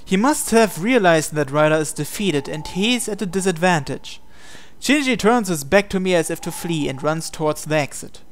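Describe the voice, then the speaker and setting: A man's voice: fairly high pitch, lively intonation, normal speed, a little hiss or hum. A man hosting a gaming channel, reading visual novel narration aloud.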